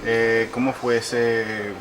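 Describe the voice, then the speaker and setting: A man interviewing a guest, asking questions in a halting way and correcting himself often. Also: mid-range voice, level tone. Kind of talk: casual interview.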